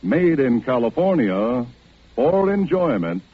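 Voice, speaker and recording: A man reading a scripted sponsor message as a 1940s radio announcer, with a husky voice on a dull broadcast recording. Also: mid-range voice, very animated, slow, no echo.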